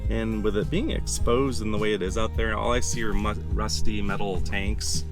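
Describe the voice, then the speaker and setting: A raspy-voiced man with a fairly low pitch talks through a casual travel vlog, rambling and thinking aloud with plenty of fillers. He is outdoors in the desert, and clear music plays underneath. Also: fairly even intonation, normal speed. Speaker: travel vlogger.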